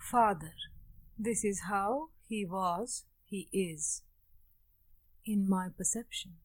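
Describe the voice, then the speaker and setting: A woman leading a guided meditation, speaking in short, measured phrases with long pauses between them. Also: mid-range voice, a little mellow, dry recording. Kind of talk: guided meditation with opening Sanskrit chant.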